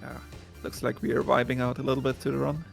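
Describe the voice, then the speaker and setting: Very gravelly, creaky man commentating. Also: medium pitch, monotone, fast. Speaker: speedrun commentator. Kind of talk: casual explanatory game commentary. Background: game music.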